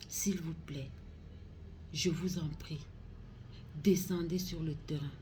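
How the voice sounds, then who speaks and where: A woman with a Cameroonian accent giving a solemn public address as a regional councillor, grave and pleading, in deliberate phrases. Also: deep voice, fairly even intonation, noisy background.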